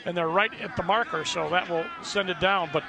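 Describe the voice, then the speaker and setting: High-voiced male football analyst, folksy. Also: a little fast, vocal fry, background noise. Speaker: color commentator. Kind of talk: conversational sports analysis. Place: sports broadcast at an outdoor stadium.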